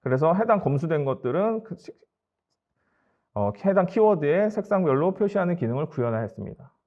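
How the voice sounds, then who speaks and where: A man with a slightly high voice delivers a formal webinar presentation. He explains things politely and matter-of-factly, like a company presenter walking through slides. The audio is somewhat dull and lo-fi. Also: animated, a little fast.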